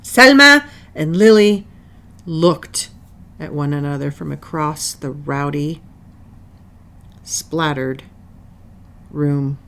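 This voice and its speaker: A woman reading a children's picture book aloud as narrator, with deliberate, dramatic phrasing and long pauses. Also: sits low, a bit bright. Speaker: storybook reader.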